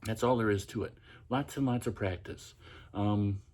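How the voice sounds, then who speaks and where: Guy doing his everyday feminine voice, quiet and soft, pitch pushed up a bit, chatty podcast tone. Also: moderate pace. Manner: speaks in a practiced feminine voice, softened and quiet; pitch raised by tensing the throat. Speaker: podcast host. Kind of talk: casual instructional monologue.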